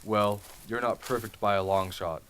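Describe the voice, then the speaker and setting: A man playing a steady, commanding military officer in an amateur radio drama. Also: fairly low pitch, normal speed, slight background noise, close to an everyday voice. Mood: steady, reassuring.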